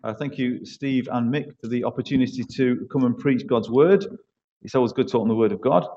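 A middle-aged man with a Manchester accent preaches a sermon, chatty and self-deprecating, tossing in jokes and rhetorical questions. His voice is dark and mellow. The recording is dull and lo-fi. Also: medium pitch, a little fast. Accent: Mancunian. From East Manchester, England. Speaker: church leader preaching as a guest.

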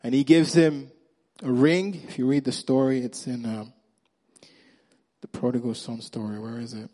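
A man with a Nigerian accent preaching a sermon, acting out the characters' lines and swinging between loud emphasis and quiet, hushed moments. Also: medium pitch, moderate pace. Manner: animated storyteller, acts out Bible characters' lines.

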